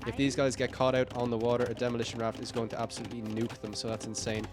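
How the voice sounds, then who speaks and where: A man with a croaky, tired voice giving live play-by-play commentary on a strategy game, upbeat and rambling with frequent fillers and self-corrections. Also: mid-range voice, monotone, quick pace, music in the background. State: croaky, tired voice late in a long stream. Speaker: esports caster.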